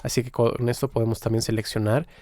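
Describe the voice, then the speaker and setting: Mellow-voiced man giving a software tutorial. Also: mid-range voice, flat delivery, fast, dry recording. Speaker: music software tutorial instructor. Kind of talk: informal screen-recorded software tutorial.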